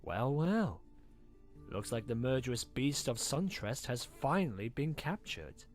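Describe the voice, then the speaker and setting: A man's voice: mid-range voice, big pitch swings, a little slow, faint music behind. A man voicing a scheming, cruel villain pony in a cartoon, theatrical and taunting as he savors his words. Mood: smug. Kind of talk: animated fan drama villain acting.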